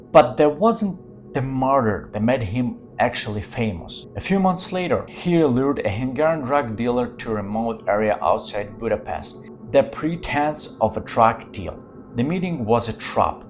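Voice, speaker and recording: A man from Ukraine, hosting his channel and telling true-crime stories dramatically in English. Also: mid-range voice. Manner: dramatic storytelling in non-native English. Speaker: channel host.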